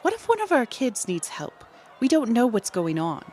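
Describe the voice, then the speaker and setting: A woman's voice: fairly high pitch, wildly swooping pitch, normal speed, a little mellow, slight background noise. An actress playing a concerned, take-charge female teacher in an audio drama, speaking urgently. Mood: urgent, worried. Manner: urgent, directing. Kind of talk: dramatic audio fiction acting.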